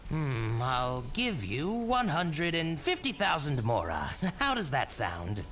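A man's voice: a little high, wildly swooping pitch, slow, fairly bright tone, full character voice. An actor voicing a hesitant merchant in a video game, hedging and stalling as he talks, with music playing behind. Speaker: game character.

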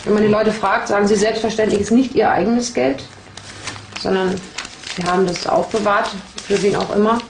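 A woman's voice: medium pitch, fairly even intonation, a little fast, creaky, echoey room. A woman police investigator explaining case evidence in an interview in a matter-of-fact, explanatory way.

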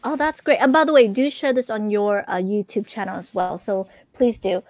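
A woman in her thirties hosting a casual livestream, chatting in a rambling, animated way and repeating herself for emphasis. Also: medium pitch, moderate pace, no room sound. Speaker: podcast host. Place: small room full of collectibles, indoors. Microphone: close desk microphone.

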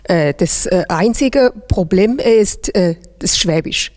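A woman's voice: mid-range voice, expressive, takes it slowly, a little mellow. A middle-aged woman from China, a native Chinese speaker with a Chinese accent, answering interview questions hesitantly into a handheld microphone. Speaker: interviewee, teacher. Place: large indoor hall.

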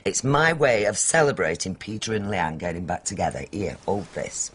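A man's voice: deep voice, animated, moderate pace, fairly bright tone, a little hiss or hum. A man playing a chatty, fussy, self-satisfied married woman in a comedy bedtime sketch, with coy, needling prattle. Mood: coy, playful. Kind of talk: domestic comedy sketch dialogue.

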